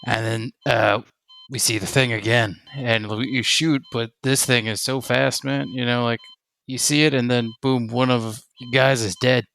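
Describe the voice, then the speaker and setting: A man playing a shaken, wary army soldier in a tabletop roleplay, talking in halting, slangy bursts. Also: medium pitch, fairly even intonation, vocal fry, a bit bright, clean tone. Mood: shaken, rattled. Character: shaken male army soldier, sole survivor, wary and informal.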